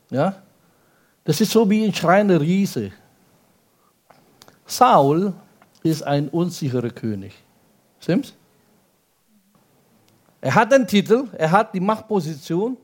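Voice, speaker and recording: A man delivering a sermon at an unhurried pace, his voice sitting somewhat high for a man. He speaks the language as a second tongue and keeps drawing the congregation in with rhetorical questions and short call-outs. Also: darkish tone. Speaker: pastor.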